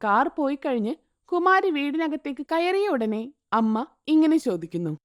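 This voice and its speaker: A woman narrating a scripted moral story in an expressive, sing-song way. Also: sits high, normal speed, no room sound, clean studio sound.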